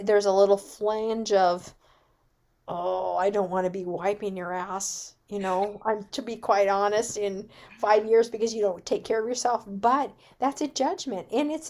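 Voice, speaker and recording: A woman's voice: mid-range voice, fairly even intonation, unhurried, a little mellow. A woman giving an informal personal talk as a guest speaker, candid in her manner. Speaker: guest speaker, wellness business owner.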